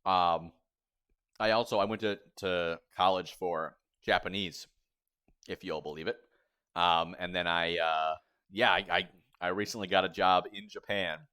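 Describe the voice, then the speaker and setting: A man's voice: low voice, steady tone, unhurried, sharp tone, clear, resonant tone. A young man in his twenties chatting casually on a live cooking stream, rambling and reading viewers' messages aloud. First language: English. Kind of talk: casual livestream chat.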